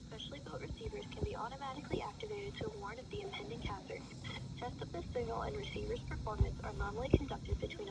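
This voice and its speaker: A woman reading a scripted public warning test as a weather radio announcer, in a formal, measured manner over the radio. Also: high voice, gravelly. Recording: distant, very noisy weather radio broadcast.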